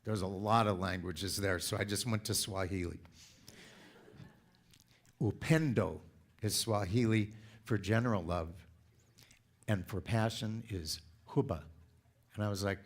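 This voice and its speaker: A man in his sixties from California with a deep voice, giving a chatty, playful talk to a congregation and drawing the audience in. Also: unhurried, long pauses. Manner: chatty, playful storyteller who asks the audience for input. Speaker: speaker at a spiritual center.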